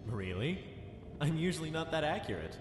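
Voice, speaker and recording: A man playing a reserved but friendly military lieutenant in a sci-fi drama, talking in a conversational, gently probing way. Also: on the high side, sing-song, moderate pace, background noise. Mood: curious, probing. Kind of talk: fan sci-fi drama acting. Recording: echoey reverb with music underneath.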